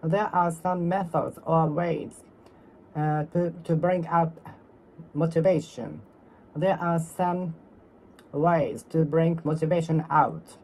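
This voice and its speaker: Japanese accent, self study speaking practice, says each line over and over, halting and careful, middle pitch. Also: fairly even intonation, a little mellow, slight background noise. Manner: repeats and reworks each sentence, careful and halting, self-correcting. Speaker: English learner. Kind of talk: solo speaking practice, talking to oneself.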